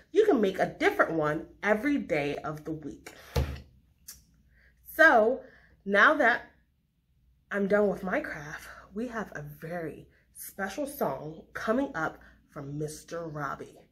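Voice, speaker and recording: A woman's voice: mid-range voice, very animated, a little slow, fairly bright tone. A woman casually presenting a craft demonstration for children in a reassuring, encouraging way.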